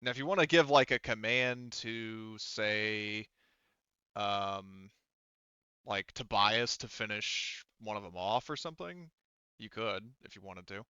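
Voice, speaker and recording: A man talking casually as game master of a tabletop role-playing game, explaining rules haltingly as he checks them, in slightly dull voice-chat audio. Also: lowish voice, pushed and bright, smooth, dry recording.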